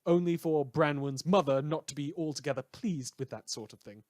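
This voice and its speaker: A man's voice: a little high, normal speed, a little mellow, no room sound, studio quality, close to an everyday voice. A man playing an opinionated, wry radio news host, delivering a chatty, theatrical monologue with sardonic asides in an audio drama. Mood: wry, musing. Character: opinionated, indignant, wry male small-station radio presenter.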